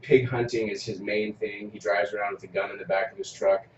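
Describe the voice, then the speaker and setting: A man interviewing in a relaxed, casual way, telling his own story and humming along in agreement. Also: fairly low pitch, fairly quick. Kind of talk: casual conversation. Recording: distant, echoey, muffled, noisy.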